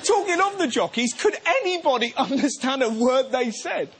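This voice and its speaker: A man's voice: very high voice, moderate pace. A man hosting a comedy show, delivering a jokey stand-up monologue. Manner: jokey, puts on silly voices. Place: TV studio with live audience. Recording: loud crowd and noise. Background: audience laughing.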